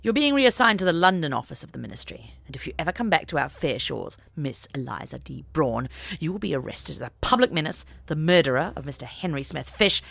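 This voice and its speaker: A woman's voice: sits low, very animated, fairly quick, gravelly, fairly bright tone, dry recording, exaggerated voice. A woman voicing a stern, pompous prime minister in an audiobook story, scolding and threatening. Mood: cold menace.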